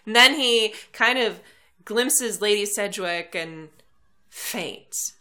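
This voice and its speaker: Bright-voiced woman co-hosting a podcast. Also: mid-range voice, slow pace. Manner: animated, laughing, recaps plot with restarts. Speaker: podcast co-host. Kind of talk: casual book discussion chat.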